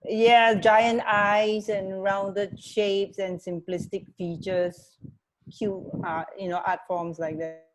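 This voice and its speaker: Woman co-host chatting casually, mid-pitched. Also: level tone, slow, rough recording. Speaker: radio show co-host. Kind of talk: casual chat.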